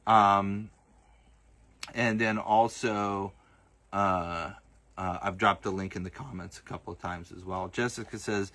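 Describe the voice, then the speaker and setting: A man's voice: lowish voice, steady tone, unhurried, bright, forceful tone. A man with a light Southern accent hosting a livestream and answering viewer comments in a casual, hesitant way, with lots of fillers and restarts. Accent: Southern US, partly masked. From Southern United States. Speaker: host of a livestream.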